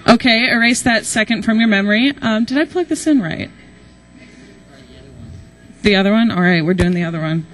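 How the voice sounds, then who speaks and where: A woman's voice: medium pitch, animated, moderate pace, pauses often. A young American woman speaking informally and chattily to an auditorium as she improvises a casual introduction. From Nashville, Tennessee, United States. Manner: chatty, informal, peppered with y'all. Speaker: student presenter introducing a group.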